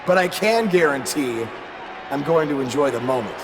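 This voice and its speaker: A man plays a humble young wrestler speaking sincerely in a video game promo. His deliberate voice sits a little high, with long reverb and clear noise around it. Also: animated, close to an everyday voice. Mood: earnest. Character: humble, earnest young male underdog wrestler. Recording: noisy arena PA with long reverb.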